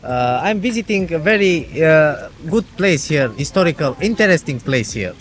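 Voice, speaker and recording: A man giving halting, casual answers as an interviewed tourist, outdoors in a city. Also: on the high side, sing-song.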